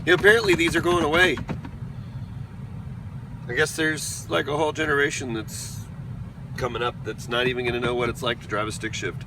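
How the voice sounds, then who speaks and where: A hoarse man rambling chattily through his day as a vlogger, quick to laugh. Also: mid-range voice, fairly even intonation, noisy background. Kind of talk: casual daily vlog chat.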